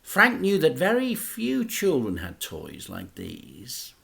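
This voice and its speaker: A man, a teacher, reading a children's book aloud in an expressive, dramatic storytelling manner. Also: fairly high pitch, slow pace, creaky.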